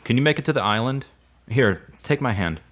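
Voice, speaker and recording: A man's voice: mid-range voice, animated, a little fast, fairly bright tone, soft background music, lightly acted. An actor playing a supportive, slightly awkward man in a radio drama, speaking earnestly and a little formally. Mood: helpful. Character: Derek, earnest, supportive, awkward male friend. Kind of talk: serial radio drama acting.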